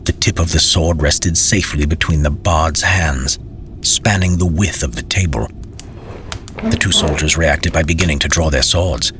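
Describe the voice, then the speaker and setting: Very deep-voiced male story narrator. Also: moderate pace, background noise. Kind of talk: scripted fantasy audiobook narration.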